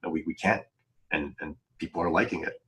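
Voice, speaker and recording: A man's voice: low-pitched, fairly quick, a bit bright, low-quality recording. A man speaking as a novelist on a podcast panel, chatting casually and explaining earnestly in a rambling way, often doubling back to rephrase.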